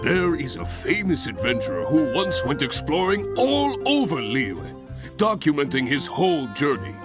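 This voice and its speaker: An actor playing a hearty, encouraging guild examiner in a video game, with a jovial, theatrical manner. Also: male voice, a little high, wildly swooping pitch, normal speed, over loud music. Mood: instructive, theatrical. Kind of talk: video game character acting.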